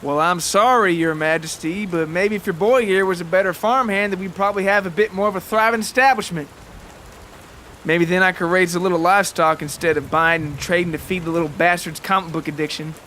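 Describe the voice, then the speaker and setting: A bitter, hard-drinking farmer father acted out by a male narrator in an audio drama. He sneers and barks in folksy country phrases, sarcastic and menacing, with a sharp tone over background noise. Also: high voice, steady tone, brisk, voice pushed up. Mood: bitter sarcasm.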